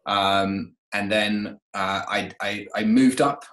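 A man's voice: fairly low pitch, fairly even intonation, takes it slowly, a bit bright, reverberant. A man in his thirties, a guest on an interview, talking casually about his renovation company with many fillers and restarts, speaking over a video call from his home. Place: home room, indoors.

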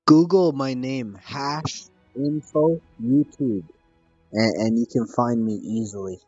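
A man from Canada, a gaming YouTuber, chatting casually and upbeat with an online opponent, full of slang and repeating himself slowly. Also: mid-range voice, fairly even intonation, darkish tone, husky, highs cut off, soft background music.

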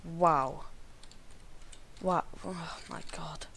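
Slow, gravelly man with a cold. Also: fairly high pitch, fairly even intonation, a bit bright, a little hiss or hum. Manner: slow, mumbling, talks to the animals. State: croaky with a cold. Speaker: gaming video creator. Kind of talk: casual gameplay commentary.

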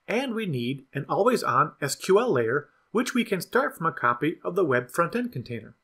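A man voices a scripted product tutorial with a slightly raised pitch and a husky edge. His delivery is measured and instructional, with lively pitch movement that keeps each step clear. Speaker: product demo narrator. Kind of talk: scripted software tutorial voice-over.

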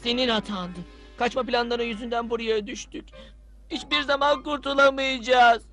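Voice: medium pitch, fairly even intonation, moderate pace, sharp tone, close to an everyday voice. An actor playing a mischievous, cowardly boy prankster in a cartoon dub, with a taunting and whiny manner. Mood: whiny, blaming. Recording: music behind the voice.

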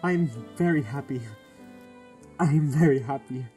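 A man plays a tender Victorian father in a radio play. His voice is fairly high and mellow, earnest and full of gentle grief. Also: moderate pace, faint music behind, natural voice. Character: gentle grieving father of a poor Victorian family. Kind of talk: radio drama acting.

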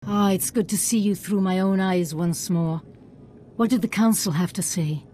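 Woman as a mellow, gentle Jedi mentor. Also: mid-range voice, steady tone, normal speed, a little hiss or hum, natural voice. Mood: calm, earnest. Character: kindly female Jedi master recovering from illness. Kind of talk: video game voice acting.

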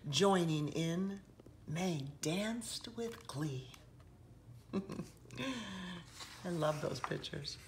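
A woman reading a picture book aloud expressively, with dramatic hushed passages and emphatic rises, recorded indoors by a camera. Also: lowish voice, animated, very slow, pauses often, slight background noise. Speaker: children's author reading aloud. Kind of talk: expressive storybook read-aloud. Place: indoors, seated on a sofa in a room.

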